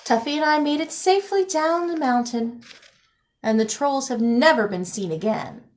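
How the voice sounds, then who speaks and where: A woman reading a picture book aloud expressively, with deliberate dramatic emphasis and pauses. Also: high-pitched, expressive, a little hiss or hum.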